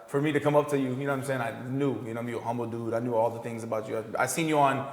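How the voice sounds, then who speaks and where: A man's voice: medium pitch, steady tone, very fast. A man hosting a podcast, chatting casually and loosely with lots of "you know what I'm saying". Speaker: podcast host, barber. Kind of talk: casual chat. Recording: roomy echoey hall.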